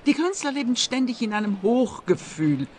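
A woman's voice: fairly high pitch, sing-song, moderate pace, slight background noise. A woman playing a pompous, lecturing art expert in a radio play. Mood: lofty. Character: pontificating female art expert, lofty and theatrical.

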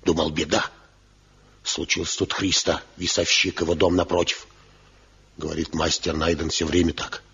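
Gruff, deep old village craftsman. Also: flat delivery, moderate pace, a bit bright, full character voice. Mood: grave, reporting. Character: grave, respected old village master craftsman, counselling firmly. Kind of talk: Soviet radio drama acting. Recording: dull 1970 radio play recording.

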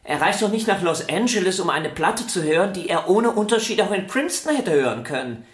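An actor playing a vain, stubborn star violinist in a German radio play, arguing in a self-important, theatrical way. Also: male voice, high-pitched, very animated, brisk. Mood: insistent. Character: vain, stubborn, celebrated male violinist arguing with his sister. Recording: roomy indoor echo.

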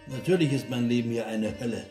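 An actor playing a cynical, melancholy, drinking doctor in a radio drama, sardonic and resigned, given to declaiming. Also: male voice, mid-range voice, quick pace, echoey room, music in the background, close to an everyday voice. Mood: bitter despair. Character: bitter, world-weary, drinking male doctor, cynical and melancholy.

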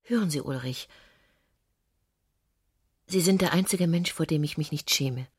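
Husky, breathy tipsy society lady. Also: deep voice, unhurried, no echo, studio quality. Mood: confiding. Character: married society woman, tipsy, wounded, haughty and confiding. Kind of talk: literary radio drama acting.

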